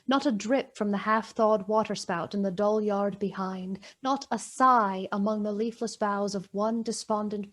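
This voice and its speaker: A woman narrating a literary radio play in a measured, dramatic and deliberate way. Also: medium pitch, lightly acted.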